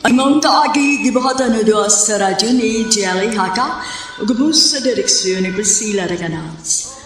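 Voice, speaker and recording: A woman's voice: on the high side, unhurried, very echoey, recorded from a distance. A woman radio host with a Cebuano accent, closing the program in a formal announcing manner.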